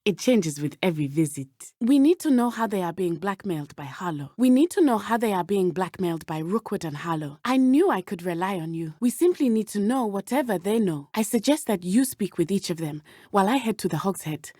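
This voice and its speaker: A woman voicing a brave, earnest teenage witch schoolgirl in a video game, speaking in a formal, measured way. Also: mid-range voice, animated, mellow, no echo, clean studio sound, lightly acted. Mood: earnest, calm. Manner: formal, measured, avoids contractions. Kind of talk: scripted game companion dialogue.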